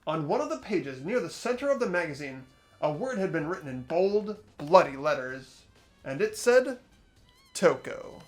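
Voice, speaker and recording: A performer voicing an earnest, uneasy teenage schoolboy who narrates an investigation in a visual novel game, hesitant and expressive. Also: mid-range voice, moderate pace, faint music behind, close to an everyday voice. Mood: pondering, startled.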